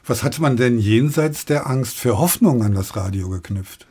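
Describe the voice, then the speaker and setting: A man's voice: mid-range voice, expressive, normal speed, dark tone, breathy edge, no echo. A man presenting a radio talk programme from a studio, moving between scripted moderation and interview questions. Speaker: radio host.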